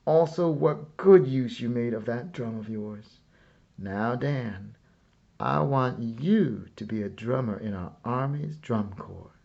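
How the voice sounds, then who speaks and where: A man voicing a stately, approving army general in a storybook, measured and solemn. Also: mid-range voice, gravelly, a little mellow, lo-fi sound. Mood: solemn approval.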